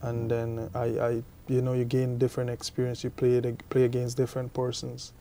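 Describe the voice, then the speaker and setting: A young man from Guyana being interviewed about his cricket, answering haltingly and often repeating his words. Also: medium pitch, monotone, dark tone. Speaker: cricketer guest.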